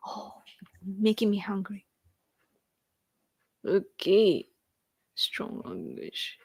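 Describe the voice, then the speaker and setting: A woman's voice: fairly high pitch, vocal fry, no echo. A woman in her thirties with a Japanese accent, hosting a casual livestream, rambling, laughing often and thanking viewers. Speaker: livestream host. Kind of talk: casual livestream chat reading viewer comments.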